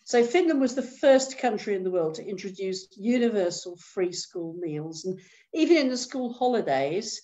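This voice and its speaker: A woman's voice: mid-range voice, lively intonation, moderate pace, warm tone. Woman speaking earnestly over a muffled call. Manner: earnest, restarts words often. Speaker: guest speaker, professor. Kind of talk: rally talk over video call. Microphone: video call.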